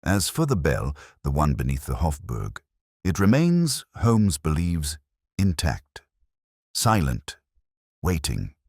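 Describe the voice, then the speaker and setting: A man reading a detective story as a scripted audiobook narration in a measured, solemn, literary manner. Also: extremely low pitch, wildly swooping pitch, a little mellow, no echo, studio quality.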